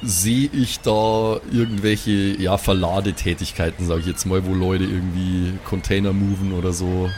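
Rambling low-voiced man at the game table. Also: steady tone, moderate pace, few pauses, a little mellow, slight background noise. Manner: rambling, hesitant, full of restarts. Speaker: player. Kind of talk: casual chat in a tabletop game.

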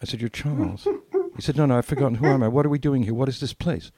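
A man's voice: medium pitch, quick pace, warm tone, husky, no room sound. An older man telling anecdotes casually as a podcast co-host, acting out bits of dialogue as he reminisces.

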